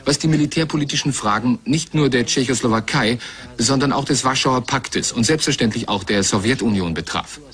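A man's voice: medium pitch, fairly bright tone. A man reading a scripted voice-over translation of an interview.

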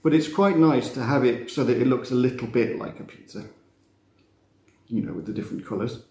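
A man, teaching a relaxed cooking demonstration in a chatty, self-mocking way with playful asides. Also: mid-range voice, brisk. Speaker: cooking instructor. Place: glass-walled kitchen room facing a garden. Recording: roomy echo, faint background noise.

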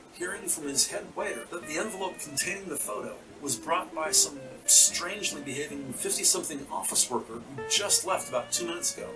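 A man's voice: fairly high pitch, recorded from a distance, low-quality recording. A man reading a scripted present-tense detective story as its narrator.

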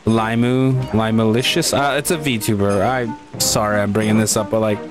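A Canadian man with a Canadian accent giving casual, excitable let's-play commentary, swearing and laughing often. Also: fairly low pitch, normal speed, over music. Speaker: gaming channel host.

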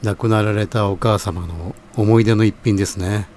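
A man playing a polite, reflective host in an audio drama, narrating in a measured, scripted manner. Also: lowish voice, steady tone, a little mellow, a little hiss or hum, close to an everyday voice. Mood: reflective, kindly.

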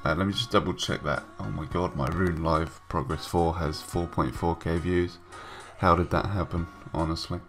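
A man's voice: low voice, steady tone, moderate pace, few pauses, fairly bright tone, faint music behind. A young man with a stuffy nose from a cold, giving casual, rambling voice-over commentary with many ums and restarts. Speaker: gaming YouTuber. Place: home room, recorded separately from gameplay.

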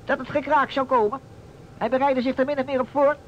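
A man's voice: very high voice, steady tone, fairly quick. A man voicing a cheeky, sullen teenage schoolboy with a nasal, insolent delivery in an old radio play. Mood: smug. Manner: slangy, insolent. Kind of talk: vintage Dutch radio play acting. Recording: dull, noisy old radio recording.

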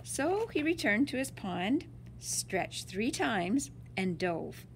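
A woman's voice: medium pitch, slight background noise, rough recording. A woman reading a children's picture book aloud like a teacher, drawing out words for dramatic emphasis. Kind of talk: expressive storybook read-aloud.

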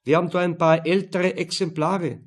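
A man's voice: on the high side, steady tone, normal speed, slightly rough, voice pushed up. A man voicing an easygoing, unbothered university student son, friendly and nonchalant.

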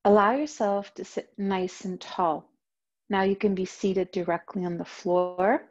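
Female meditation teacher, low mellow voice, very slow soothing delivery, slightly muffled recording. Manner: slow, soft, soothing instruction. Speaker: yoga teacher. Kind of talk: guided meditation.

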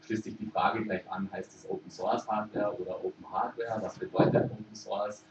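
Low-voiced German man in an echoey hall. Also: moderate pace, noisy background. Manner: relaxed, thinking aloud with frequent hesitations. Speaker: conference speaker. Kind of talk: informal conference talk. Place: lecture room at a conference. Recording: echoey hall, distant mic, muffled.

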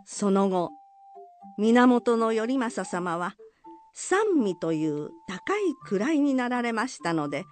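A woman's voice: fairly high pitch, very animated, slow, darkish tone, faint music behind. A woman narrating a folk tale for a radio drama in scripted storytelling style.

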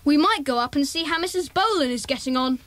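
A woman playing a sensible, bossy young boy who leads a children's club, brisk and commanding. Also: high-pitched, expressive, fairly bright tone. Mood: decisive.

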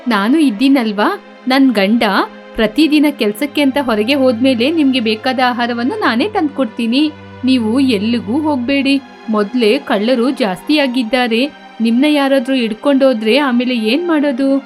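A woman's voice: sits high, normal speed, faint music behind, lightly acted. A woman playing a kind, devout and anxious village wife in an acted moral story, speaking in a caring, pleading way. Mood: worried, caring.

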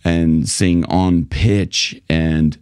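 A middle-aged man coaching singers straight to camera, animated and gesturing, with plenty of fillers, in a small home studio. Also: low-pitched, drawn-out words, smooth, dry recording. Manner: animated, gestures with both hands, many fillers. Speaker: vocal coach. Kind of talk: direct-to-camera coaching talk. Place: small home studio room with guitars on the wall. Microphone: large studio mic in front of him.

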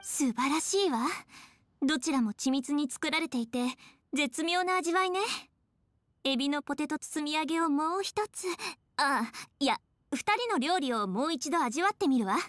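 A woman's voice: high voice, moderate pace, a bit bright, no room sound. A woman voicing a refined, prim young lady judge in an anime game, speaking politely. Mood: impressed, prim.

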